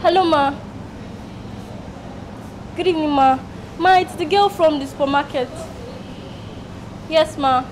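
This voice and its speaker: A young Nigerian woman speaks in a very high voice as an earnest daughter in a screen drama. There is some noise on the location sound. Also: unhurried, long pauses. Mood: polite, grateful. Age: young adult. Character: polite young job seeker, distraught tearful daughter. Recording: noisy location film sound.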